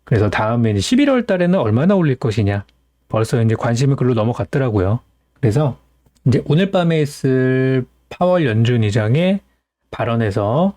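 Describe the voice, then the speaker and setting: Man, stock show host, conversational commentary, colloquial, soft-edged warm tone, very dry recording. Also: mid-range voice, dry recording. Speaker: stock market analyst hosting a show. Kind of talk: informal market commentary.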